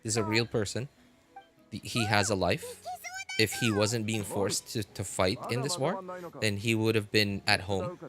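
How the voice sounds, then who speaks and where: A man live streaming casual, unscripted commentary, speaking haltingly and repeating phrases for emphasis. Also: on the low side, a crowd in the background.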